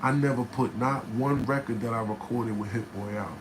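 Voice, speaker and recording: A man from New Jersey, a rapper, telling a studio story in casual, profane style with a raspy voice, often asking "right?". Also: medium pitch, moderate pace. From New Jersey, USA. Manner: animated, profane, keeps checking in with "right?". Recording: noticeable background noise.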